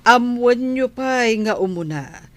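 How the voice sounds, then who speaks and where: A woman playing a stern, protective auntie in an Ilocano radio comedy drama, admonishing and deliberate, with an Ilocano accent. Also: a little high, lively intonation. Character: stern, protective auntie guardian of young women.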